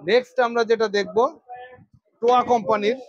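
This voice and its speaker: High-pitched Bangladeshi salesman, dull recording. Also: fairly even intonation, normal speed, dry recording. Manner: repeats phrases for emphasis, addresses viewers directly. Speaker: electronics shop presenter. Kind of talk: product demonstration sales pitch.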